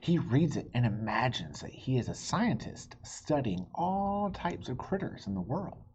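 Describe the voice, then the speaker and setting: A woman with a very deep, unhurried voice reads a picture book to young children. Her delivery is expressive and swings widely in melody, and she often speaks straight to the listener. The recording is somewhat dull and lo-fi. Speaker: read-aloud storybook reader and host. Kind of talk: children's picture-book read-aloud with friendly asides. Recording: dull lo-fi sound with faint noise.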